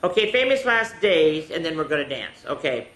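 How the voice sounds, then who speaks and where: A woman's voice: sits low, sing-song, moderate pace. A senior woman hosting a children's story time, chatty and instructive, often putting questions to the kids. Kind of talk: children's storytelling and activity talk. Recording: echoey room with faint noise.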